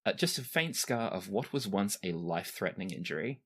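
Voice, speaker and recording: A man's voice: fairly low pitch, sing-song, a little fast, a bit bright, husky. A man acting as game master, improvising descriptive narration for a tabletop game with casual asides.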